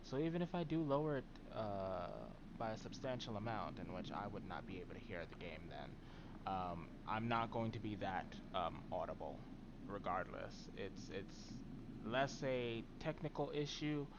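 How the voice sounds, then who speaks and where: A man streaming a game live, chatting in a very soft, mild voice with plenty of ums and trailing words. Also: lowish voice, lively intonation, moderate pace, dull audio, noisy background. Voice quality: very soft voice that does not carry.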